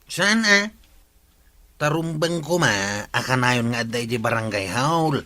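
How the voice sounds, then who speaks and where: A man's voice: mid-range voice, animated, a little slow, sharp tone. An actor playing a disgruntled, plain-spoken village man with firm opinions in a comedy radio drama.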